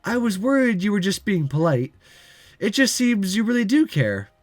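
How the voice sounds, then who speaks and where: Visual novel waitress read by a man, young, courteous, unbothered, softly teasing, varied intonation over faint music. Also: high-pitched, sing-song, moderate pace, dry recording, pitched above the performer's own voice. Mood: grateful, touched. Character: young woman, polite, teasing waitress and childhood friend.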